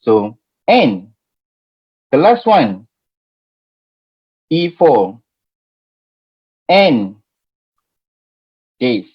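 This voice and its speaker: A man's voice: medium pitch, sing-song, extremely slow, long pauses, breathy edge, dull audio. A man in his forties with a Malaysian accent, teaching a spreadsheet class in a chatty way that keeps checking in with "okay?" and "right?". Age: 40s. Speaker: Excel trainer. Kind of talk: live screen-share spreadsheet tutorial.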